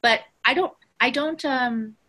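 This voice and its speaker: Bright-voiced, earnest woman in conversation. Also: high-pitched, a little slow. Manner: earnest, emphatic, casually sweary. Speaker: spiritual teacher.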